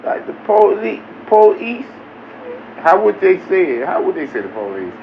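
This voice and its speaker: A man hosting a live reaction stream, riffing in loose, profane banter straight at his viewers. His voice sits a little high and comes through muffled. There is steady noise behind him. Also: moderate pace, gravelly. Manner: animated, talks straight to viewers. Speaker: livestream reaction host. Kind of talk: casual profane reaction commentary.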